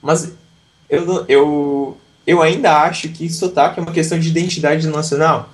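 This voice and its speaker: A man's voice: on the high side, steady tone, normal speed. A man from southern Brazil, an English teacher, talking reflectively in a casual interview with frequent restarts.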